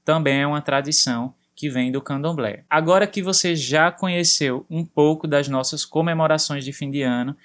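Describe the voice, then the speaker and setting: A man with a Brazilian accent presenting a segment on a language-learning podcast, explaining terms slowly for learners. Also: on the high side, fairly bright tone. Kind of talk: podcast lesson narration.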